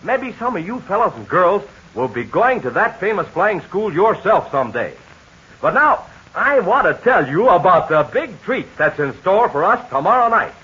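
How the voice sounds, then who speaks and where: A man announcing a 1940s radio serial, making the sponsor's pitch to young listeners in an eager, breathless kid-show sales style, heard on a dull lo-fi old radio transcription. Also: very animated, normal speed, pushed and bright, slightly rough.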